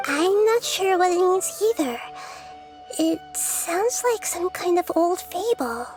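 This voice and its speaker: A tiny kid goddess in a game, super high voice, gentle and wise, faint music. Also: female voice, animated, slow, exaggerated voice. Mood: curious, puzzled. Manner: thoughtful, gentle, articulate. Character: small childlike female nature deity, wise, kind, curious. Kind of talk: scripted video game dialogue.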